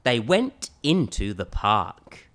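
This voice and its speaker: Lady reading a kids storybook, super animated, dramatic emphasis on the phrases. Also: very deep voice, slow. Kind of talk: expressive children's storybook reading.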